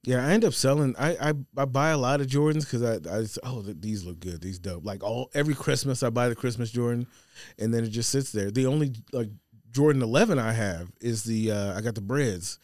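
A man's voice: mid-range voice, a little fast, a little mellow, no echo, studio quality. An American man in casual podcast chat, explaining things in an informal, slangy way. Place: podcast studio.

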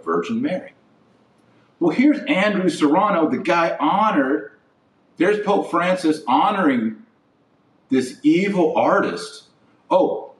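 A man hosting an opinionated religious podcast, recorded in an echoey room a little way off the mic. He speaks slowly and indignantly, drawing out key words with preachy emphasis. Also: mid-range voice, far from the mic. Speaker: podcast host and religious commentator. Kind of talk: opinionated religious commentary to camera or podcast audience. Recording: roomy echo, voice off the mic.

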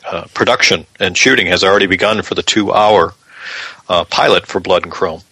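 A male podcast co-host with a low, bright voice. He explains news in casual conversation, and the recording is close and dry. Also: brisk, creaky, slightly rough, no echo.

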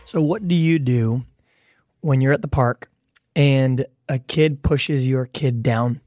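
A man's voice: medium pitch, takes it slowly, a little mellow, soft background music. A man who works as a pastor, talking conversationally with rhetorical questions and stories. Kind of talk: podcast teaching talk.

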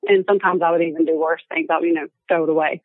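A woman's voice: sits low, steady tone, rapid-fire, no echo. A young woman with an Alabama accent, a guest chatting casually and laughing often. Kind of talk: casual chat. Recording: tinny phone line, dry.

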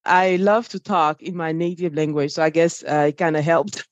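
A woman's voice: low-pitched, fairly even intonation, moderate pace, no room sound, lo-fi sound. A woman from Ivory Coast whose first language is French, speaking as an interview guest in an upbeat, chatty way. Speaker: interview guest, finance executive. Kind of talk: casual interview talk.

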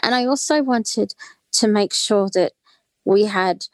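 A woman's voice: medium pitch, slow, dry recording. A woman, a guest author on a podcast, answering in an earnest, fluent way with casual fillers. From Australia.

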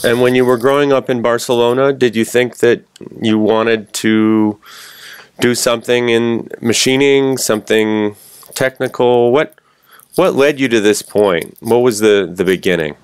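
A man hosting a podcast, reading the intro and a sponsor ad clearly and steadily, then asking his guest questions. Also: medium pitch, a little slow. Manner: clear, steady read; spells out web addresses. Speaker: podcast host. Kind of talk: podcast intro, ad read and interview.

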